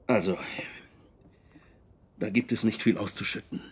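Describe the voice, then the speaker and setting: An actor playing a defensive, blustering film producer under questioning, with a husky voice, in a radio drama. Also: male voice, lowish voice, a little slow. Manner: aggrieved, blustering.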